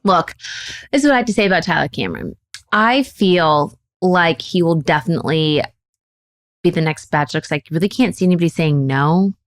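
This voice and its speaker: A woman's voice: on the low side, animated, no echo. Upbeat woman podcast host, dry studio sound. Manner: upbeat, laughing, quick talker. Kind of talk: casual podcast chat.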